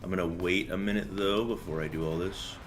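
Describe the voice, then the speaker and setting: A man's voice: low voice, steady tone, a little fast, faint music behind. A young man doing casual, slangy game commentary and chatting with viewers in a joking, rambling way. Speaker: game streamer. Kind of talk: casual gameplay commentary and chat.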